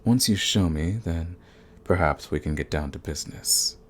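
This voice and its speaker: An actor playing a suave, sadistic vampire lord in an ASMR roleplay, with a theatrical, silky, condescending manner and a husky, gravelly voice. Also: male voice, low-pitched, a little hiss or hum, lightly acted. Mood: silky, insistent. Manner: theatrical, silky, condescending endearments, drawn-out phrasing. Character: suave sadistic male vampire lord, predatory, mocking, possessive.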